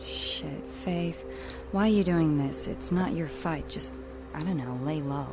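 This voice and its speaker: An actress playing a concerned, streetwise young woman in a game cutscene, quiet, casual and questioning. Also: low voice, measured pace, over music. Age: young adult. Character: concerned, streetwise young female friend of a runner. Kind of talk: animated game cutscene acting.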